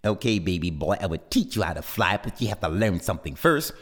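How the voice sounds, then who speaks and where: A man voicing a bossy, teasing big sister hawk who talks in a low drawl. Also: very animated, quick pace, big-room sound.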